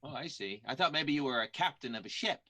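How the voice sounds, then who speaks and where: A man's voice: mid-range voice, expressive, fast, pushed and bright. A man playing an earnest, lovestruck vaudeville groom in an improvised radio play, with a sincere, simple manner. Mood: eager.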